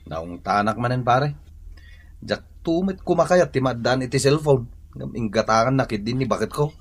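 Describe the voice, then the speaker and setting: A man's voice: medium pitch, lively intonation, normal speed, slightly rough. A man acting in a comedic radio drama as a bumbling, reluctant husband who sounds confused and grumbling. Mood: put-upon. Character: bumbling, reluctant husband baffled by his new cellphone.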